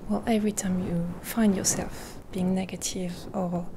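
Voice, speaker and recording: A woman speaking in a gentle, half-whispered ASMR roleplay, rambling softly and reassuringly with pauses, close to the mic. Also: lowish voice, level tone, vocal fry, background noise. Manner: caring, reassuring. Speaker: ASMR creator. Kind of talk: soft-spoken ASMR roleplay.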